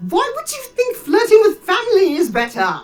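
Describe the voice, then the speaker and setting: A woman's voice: very high-pitched, huge pitch swings, moderate pace, faint music behind, exaggerated voice. A woman voicing an awkward, exasperated adventuring bard in a video game. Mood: flustered. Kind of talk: video game character acting.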